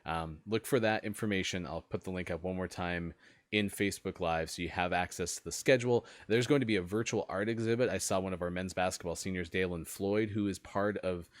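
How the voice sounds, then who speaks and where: A man with a very low voice hosts a live web show. His delivery is upbeat and friendly, with frequent uhs, and the sound is close and dry. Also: fairly even intonation, a little fast, no room sound. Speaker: host.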